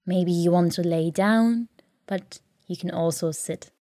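A woman leading a guided sleep meditation in a calm, soothing, gentle way, leaving long pauses between phrases. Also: on the low side, no echo, studio quality. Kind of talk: guided sleep meditation, soft scripted narration.

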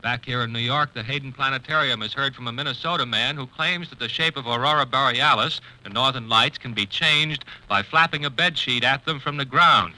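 American male radio newsreader, mid pitch, piercingly bright scripted delivery. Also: low-quality recording. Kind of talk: scripted old radio news report.

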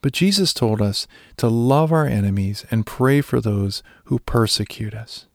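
A man's voice: mid-range voice, lively intonation, measured pace, no echo, studio quality. A man delivering a scripted religious reflection as a devotional speaker.

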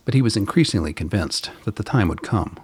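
A man narrating a scripted radio drama in a measured, literary manner, with a gravelly, husky voice. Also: lowish voice, expressive, a little mellow, a little hiss or hum.

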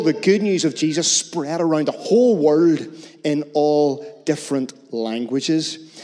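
A man's voice: on the high side, a little mellow. A man leading a children's slot in a church service, explaining things simply and in a friendly way. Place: church hall. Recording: long church reverb.

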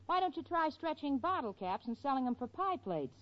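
An actress playing a level-headed, protective young woman in a 1940s radio sitcom, earnest, brisk and wry. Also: high voice, sing-song, heavy background noise. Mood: insistent. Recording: dull vintage 1940s radio recording.

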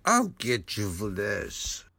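A creaky, vengeful horror villain. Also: male voice, on the low side, huge pitch swings, takes it slowly, sharp tone, exaggerated voice. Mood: vengeful menace. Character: vengeful sinister villain cursing an old hag. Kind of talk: horror radio play acting.

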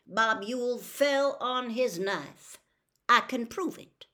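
A woman's voice: a little high, slow, pushed and bright, pitched above the performer's own voice. A woman reading an audiobook, voicing a stubborn middle-aged male sheriff who talks bluntly in a drawling country way. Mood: insistent.

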